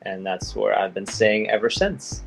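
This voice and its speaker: A man's voice: lowish voice, unhurried, vocal fry, faint music behind. A young man from Belgium, a guest skater talking in a loose, laid-back way with lots of fillers. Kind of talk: casual interview chat.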